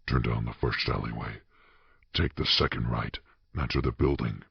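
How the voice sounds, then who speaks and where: A man voicing a mysterious, commanding voice in an audio drama, giving terse, flat, clipped instructions with a very deep, gravelly sound. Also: moderate pace, no room sound, full character voice. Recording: dull, muffled, dry sound.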